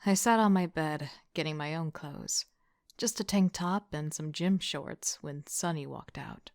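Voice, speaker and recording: Woman acting a slow, introspective young leader. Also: low-pitched, a little mellow, dry recording, studio quality. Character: reflective, dry-witted young female team leader. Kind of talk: first-person audio drama narration.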